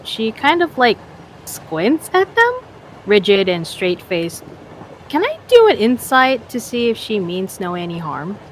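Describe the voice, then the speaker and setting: Animated but unhurried woman game player. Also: medium pitch, slight background noise, poor audio. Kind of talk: casual improvised roleplay narration.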